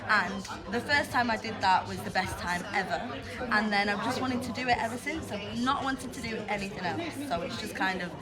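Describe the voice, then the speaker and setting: A woman's voice: mid-range voice, fairly quick. An enthusiastic, playful woman with a British accent, chatting in an interview as a pantomime performer. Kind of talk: interview chat. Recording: loud music and noisy crowd behind. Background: loud music, busy crowd.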